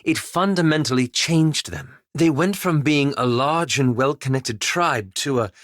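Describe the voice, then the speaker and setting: A man playing a reserved, scholarly, self-doubting young elf adventurer in a video game, speaking in a formal, measured, wryly understated way. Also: on the high side, fairly bright tone, dry recording, clean studio sound. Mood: pensive. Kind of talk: video game companion dialogue.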